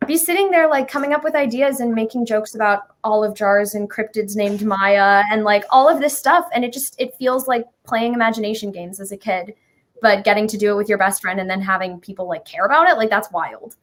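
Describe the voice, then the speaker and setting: A woman's voice: mid-range voice, fairly quick. A young American woman chatting informally and enthusiastically as an interview guest. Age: young adult. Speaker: interview guest, musical creator. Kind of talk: casual chat.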